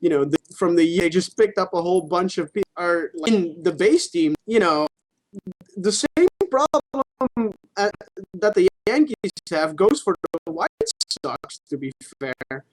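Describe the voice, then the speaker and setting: A man's voice: sits high, lively intonation, a little slow, poor audio. Man, podcast co-host, casual sports chat, informal, sweary, argues his points loosely.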